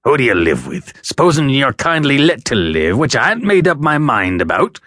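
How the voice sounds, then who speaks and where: A man voicing a ragged, menacing escaped convict, growling out rough threats and orders in a storybook reading. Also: medium pitch, sing-song, moderate pace, sharp tone, no room sound, studio quality, voice pushed up, heavily acted. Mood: suspicious.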